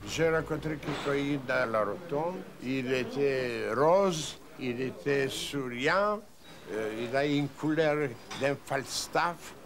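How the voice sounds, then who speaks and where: Slow, halting older man. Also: mid-range voice, crowd noise. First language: Russian. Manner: halting, repeats words, searching for phrases. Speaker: witness interviewed in a documentary. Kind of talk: unscripted reminiscence. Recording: loud background ambient noise.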